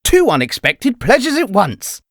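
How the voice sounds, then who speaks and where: An actor playing a courtly, effusive old-fashioned gentleman in a comic dub. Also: high voice, wildly swooping pitch, unhurried, dry recording, studio quality, full character voice. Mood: effusive, welcoming. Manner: florid, courtly.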